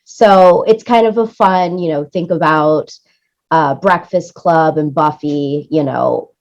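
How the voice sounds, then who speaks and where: Low-voiced woman chatting in an interview. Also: slow, darkish tone, lo-fi sound. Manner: chatty, explanatory, often tags points with "right?". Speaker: interview guest, music supervisor and producer. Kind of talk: conversational interview talk.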